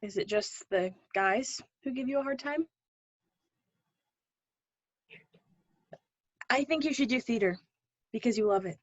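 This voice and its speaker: A woman's voice: a little high, fairly quick, dry recording, dull audio, close to an everyday voice. A woman acting an earnest, pushy drama teacher with faded dreams, encouraging and wistful in a play readthrough. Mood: gently probing.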